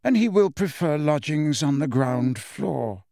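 A man's voice: on the high side, animated, measured pace, gravelly, mellow, no echo, studio quality. A man voicing a very old wizard headmaster who speaks pleasantly, courteously and without hurry.

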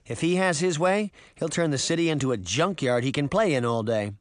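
A man's voice: on the high side, expressive, brisk, no echo, studio quality, natural voice. A man voicing an earnest, plucky young girl in a storybook reading. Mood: wry.

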